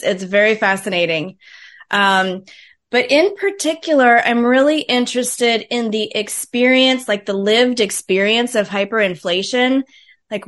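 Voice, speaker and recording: A woman's voice: on the high side, fairly bright tone. A woman hosting a podcast interview, asking questions in a friendly, curious way. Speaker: podcast host.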